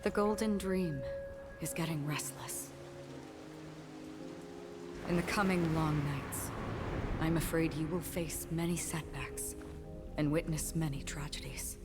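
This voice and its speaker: Game warrior woman: wistful, grave, breathy, measured; burdened by memory. Strong music bed. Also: low voice. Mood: solemn, foretelling. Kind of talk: video game voice acting, measured dramatic delivery.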